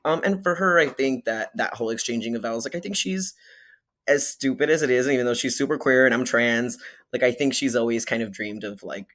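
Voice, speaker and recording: A young man from Miami, a podcast guest, chatting candidly with lots of fillers and quick laughs. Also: medium pitch, a bit bright. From Miami, Florida. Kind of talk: casual conversational interview.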